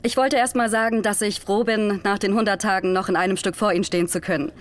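An actress playing a sharp-tongued female astronaut in a scripted German audio drama. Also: medium pitch, fairly even intonation, quick pace, natural voice. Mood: composed, public. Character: irritated, sharp-tongued female astronaut, composed and joking in public.

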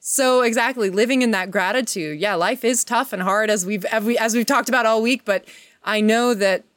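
A woman's voice: fairly high pitch, moderate pace, a bit bright, dry recording. Earnest woman guest, dry close sound. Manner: earnest, wordy, searching for words with restarts. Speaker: podcast guest, performer. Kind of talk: casual, reflective podcast conversation.

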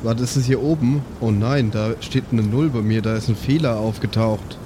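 Man, medium pitch, tabletop player, casual improvised banter, clear background noise. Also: normal speed. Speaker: player in a tabletop roleplaying game. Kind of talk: casual improvised game chat. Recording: clear rumbling ambient noise behind.

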